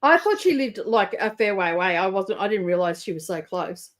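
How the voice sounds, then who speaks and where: A woman's voice: medium pitch, sing-song, brisk. A woman co-hosting a livestream in casual chat, with a giggly, sweary way of talking. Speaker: livestream co-host.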